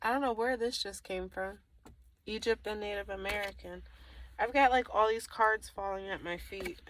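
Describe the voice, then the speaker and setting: A woman's voice: medium pitch, normal speed, a bit bright, rough recording. A woman giving a casual, improvised tarot reading to the viewer, thinking aloud in a rambling way, often trailing off and circling back. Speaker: tarot reader.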